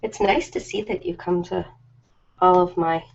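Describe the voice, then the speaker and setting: A woman's voice: lowish voice, big pitch swings, normal speed, vocal fry. A woman chatting casually and informally on a live stream, ad-libbing in a rambling, self-deprecating way. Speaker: live-stream host of ASMR and ritual videos. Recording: slightly dull lo-fi live-stream audio.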